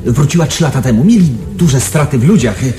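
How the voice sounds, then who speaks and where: An actor playing a gossipy, dismissive sanatorium resident in a Polish radio drama, chatty and a little hesitant. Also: male voice, mid-range voice, animated, fast, music in the background, close to an everyday voice.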